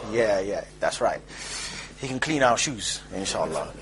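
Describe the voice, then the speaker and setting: A man giving an informal street-style lecture as a preacher, rapid and emphatic with mocking asides. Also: on the low side, expressive, rough recording.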